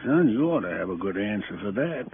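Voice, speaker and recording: An actor playing a tired, proud old father under suspicion, speaking in a folksy, deliberate way in 1950s radio crime drama. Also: fairly low pitch, big pitch swings. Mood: weary, resigned. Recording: tinny, noisy old radio recording.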